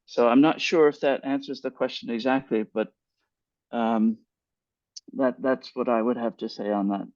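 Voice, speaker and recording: An older man on a headset video call, answering a webinar question in a thoughtful, measured way with frequent restarts. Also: mid-range voice, steady tone, a little mellow, no echo. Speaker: guest speaker. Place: home room with virtual background. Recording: dull, dry video call audio.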